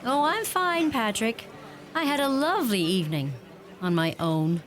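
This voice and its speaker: An actress playing a warm, curious young woman in a radio play. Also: a little high, big pitch swings, normal speed. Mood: friendly, intrigued. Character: warm, curious young American woman new to rural Ireland.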